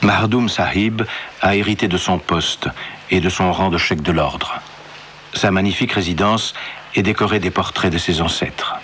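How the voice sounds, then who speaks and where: A man's voice: deep voice, moderate pace, fairly bright tone, slight background noise. A man reading scripted documentary narration as the narrator.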